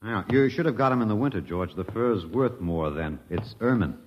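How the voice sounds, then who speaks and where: Through a dull vintage radio recording comes a wry anthropologist held captive. His voice is on the low side, with wide, playful swings of pitch. He sounds bookish, resigned and dryly flippant, as in 1950s radio drama. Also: lively intonation, soft background music. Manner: dry, flippant, unhurried.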